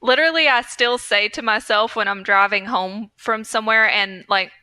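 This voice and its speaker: Bright, clear-voiced woman chatting casually. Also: mid-range voice, moderate pace, no room sound. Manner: informal, slangy. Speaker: podcast co-host. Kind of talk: casual chat.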